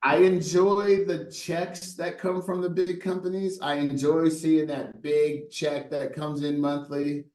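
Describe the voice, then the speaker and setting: A man's voice: fairly high pitch, flat delivery, slow, hardly any pauses, darkish tone, echoey room. A middle-aged man in a casual interview, telling stories with lots of rhetorical "right?" asides and a playful tone. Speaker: guest, brand designer.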